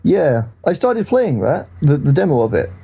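A man's voice: on the high side, very animated, normal speed, vocal fry, mellow, slightly rough. A man hosting a podcast in casual, informal conversation about video games, with the odd mild swear. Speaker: podcast host.

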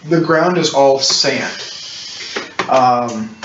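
A man acting as game master, describing scenes in a halting, explanatory way for a tabletop role-playing game. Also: mid-range voice. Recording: roomy, muffled distant mic with clicks, hiss.

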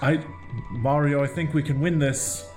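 A man's voice: a little high, fairly even intonation, moderate pace, a little mellow, faint music behind, natural voice. A man playing a polite, educated, slightly prim and earnest sidekick in a comic audio play.

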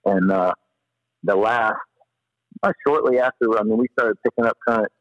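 A man telling stories casually as a podcast guest, in an animated, slangy, rambling way. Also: lowish voice, moderate pace, no echo, dull audio. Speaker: podcast guest, paddler and former river guide. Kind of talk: casual storytelling in an interview.

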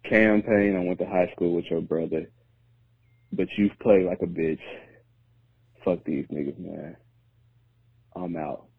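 A hoarse man who has lost his voice leaves a gloating, profane voicemail rant, cutting it like a wrestling promo. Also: sits low, steady tone, unhurried, long pauses, no echo. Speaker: voicemail caller. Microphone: phone. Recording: tinny phone voicemail.

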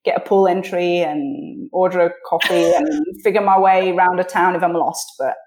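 A woman from Switzerland with a posh British accent, chatting casually as a podcast guest and often pausing to think mid-sentence. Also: fairly low pitch, steady tone, darkish tone, slight background noise. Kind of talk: casual interview chat. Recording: roomy echo on the voice.

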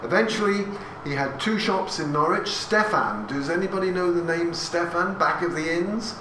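A man's voice: fairly high pitch, normal speed. An English man in his sixties tells an audience a family story in a chatty, anecdotal way, with asides and questions to the listeners. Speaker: speaker giving a talk. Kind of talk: talk to a live audience about family history. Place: hall with an audience. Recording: echoey room recorded from a distance, with audience noise.

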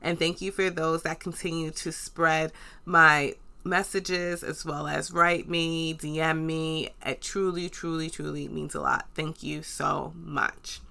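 Low-voiced, bright New York woman. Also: level tone, takes it slowly, creaky. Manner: earnest, encouraging, repeats for emphasis. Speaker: podcast host. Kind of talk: motivational podcast monologue.

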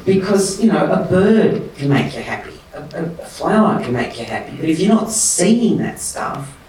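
Impassioned man, distant in a roomy space. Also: normal speed. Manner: impassioned, emphatic, rising to high pitch when animated. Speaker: guest. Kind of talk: conversational interview. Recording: roomy and distant with faint noise.

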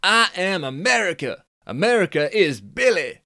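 A man's voice: high-pitched, big pitch swings, normal speed, vocal fry, bright, forceful tone, dry recording, clean studio sound, voice pushed up, full character voice. A man voicing a brash young American snowboarding champion with a put-on Californian accent and a boastful surfer-dude drawl. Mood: rowdy.

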